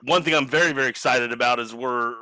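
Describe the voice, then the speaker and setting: Bright, eager man with an American accent. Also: mid-range voice, fast, no echo, rough recording. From New Zealand. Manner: enthusiastic, rambling. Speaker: business strategist. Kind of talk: casual panel chat.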